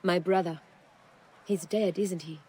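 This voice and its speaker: An actress playing a grave, mystical young seer's apprentice in a video game, with solemn, poetic delivery. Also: female voice, on the low side, steady tone, a little slow, a little mellow, breathy edge. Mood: grieving. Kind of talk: video game cutscene acting. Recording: faint game music behind the voice.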